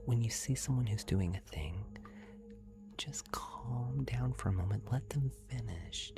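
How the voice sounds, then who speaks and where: A man hosting an ASMR livestream, speaking in a breathy whisper and rambling directly to his chat viewers. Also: a little slow. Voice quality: breathy whisper with creaky low voice.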